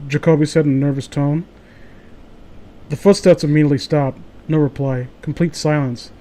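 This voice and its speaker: A man's voice: fairly high pitch, steady tone, moderate pace, mellow. A man hosting a casual show, reading amateur horror stories aloud and breaking off into rambling, opinionated, profane commentary.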